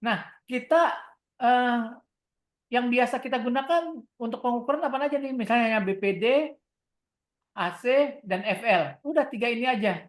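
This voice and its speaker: A woman's voice: fairly high pitch, normal speed. A woman with an Indonesian accent leading an online equipment tutorial step by step, often posing questions and answering them herself in an instructional way. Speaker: product trainer giving a software tutorial.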